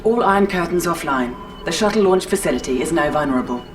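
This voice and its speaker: A woman's voice: deep voice, expressive, quick pace. A woman playing a composed military computer announcer in a game, giving clipped, formal status reports in a matter-of-fact way. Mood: composed, alert. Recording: distant, under very loud game music.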